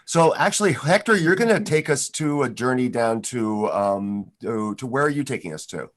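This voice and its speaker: A man's voice: medium pitch, animated, normal speed. A man hosting a live online music show for schoolchildren, chatty and friendly, with many fillers, over a video call. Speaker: host.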